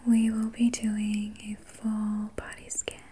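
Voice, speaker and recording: A woman whispering softly and breathily as an ASMR host, guiding relaxation in a soothing, gentle, unhurried manner. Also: mid-range voice, completely flat delivery, slightly rough. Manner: soothing, slow, gentle personal attention. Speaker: ASMR host and reiki practitioner.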